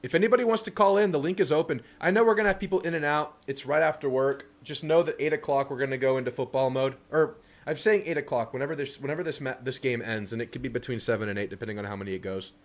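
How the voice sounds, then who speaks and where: A man's voice: mid-range voice, fairly even intonation, fast talker, hardly any pauses. A young man hosting a casual live stream, reading viewer messages aloud and answering them. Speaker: livestream host.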